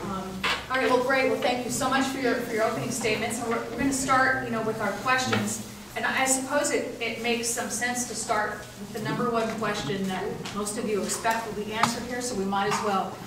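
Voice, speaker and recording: A woman's voice: fairly high pitch, steady tone, fairly quick, background noise. A woman moderating a debate in a casual, joking manner. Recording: echoey hall from far off.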